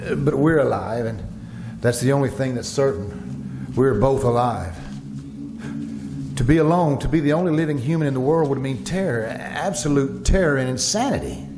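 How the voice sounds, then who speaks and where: A man's voice: medium pitch, lively intonation, normal speed, a little mellow, a little hiss or hum, close to an everyday voice. A man playing an anxious plague survivor who narrates his ordeal in an old-time radio thriller, performed live. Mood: bleak, awed. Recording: live stage recording with music.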